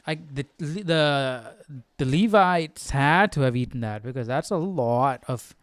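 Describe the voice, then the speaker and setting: A man with a medium-pitched, clear and smooth voice talks casually as a livestream host. He muses aloud and circles back over his own words, recorded dry with no room sound. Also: animated, slow pace, dry recording. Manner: informal, musing, repeats himself. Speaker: host of a Bible study livestream. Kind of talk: casual chat, thinking aloud.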